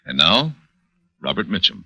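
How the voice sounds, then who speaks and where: A man's voice: low-pitched, very animated, moderate pace, gravelly, pushed and bright, husky, dry recording. A man reading an advertisement as a polished, emphatic 1940s radio announcer. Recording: dull vintage 1940s radio recording.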